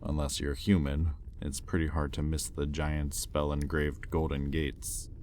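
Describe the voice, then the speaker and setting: Demon captor for audio roleplay, male actor: very deep pitch, sardonic and teasing, arrogant, curious, intimate delivery, slight background noise. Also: flat delivery, a little slow, darkish tone. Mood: sardonic, defensive. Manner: sardonic, teasing, conversational with swearing.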